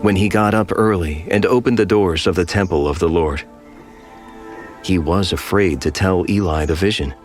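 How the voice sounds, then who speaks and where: A man narrating scripture in a steady, measured storytelling voice for a dramatized audio Bible. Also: low voice, music in the background.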